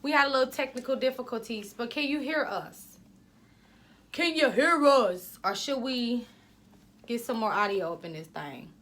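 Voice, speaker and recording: Woman podcast co-host, loud, chatty, rambling, pitched a bit high. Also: lively intonation, normal speed. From Ohio, US. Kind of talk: casual podcast chat.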